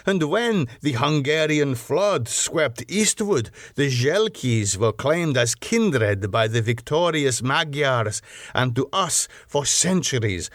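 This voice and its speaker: Proud ancient vampire nobleman: boastful, declamatory gothic storybook villain, measured pace, dry close sound. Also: mid-range voice, a bit bright, no echo. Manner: grandiose, declamatory, rhetorical questions. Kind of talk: dramatic gothic audiobook character reading.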